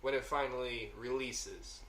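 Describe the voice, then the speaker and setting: A man's voice: mid-range voice, a little slow, fairly bright tone. A man co-hosting a casual podcast, chiming in with short remarks and finishing the other host's sentences. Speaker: podcast co-host.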